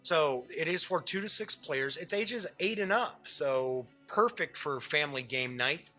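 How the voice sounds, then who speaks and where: A middle-aged man with a bright, forward voice pitched a little high hosts an informal live show. He talks in a chatty, joking way and drifts into side remarks, with music present in the recording. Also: animated, measured pace. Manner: chatty, jokey, digressive. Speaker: show host. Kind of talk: casual live unboxing chat.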